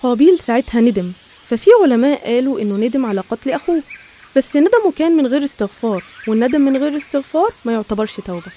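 A woman's voice: sits high, animated, racing, warm tone. A woman with an Egyptian accent narrating a scripted religious story.

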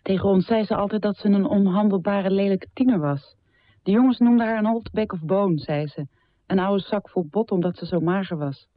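An actress playing a poet's grown daughter, narrating memories of a difficult mother in a measured, reflective radio drama style. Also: mid-range voice, no echo, muffled recording, natural voice. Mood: sober, reflective. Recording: thin, band-limited dry radio recording.

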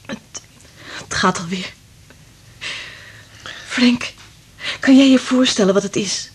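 An actress playing an anxious, anguished wife with telepathic powers, speaking dramatically in a radio drama. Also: female voice, medium pitch, takes it slowly, slight background noise, lightly acted. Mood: distraught.

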